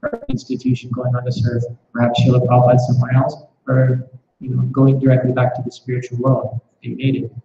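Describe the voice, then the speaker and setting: Mellow, monotone male class speaker, reflective and halting, recorded muffled in an echoey room. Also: medium pitch, vocal fry. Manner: reflective, restarts with repeated words. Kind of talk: scripture class with questions.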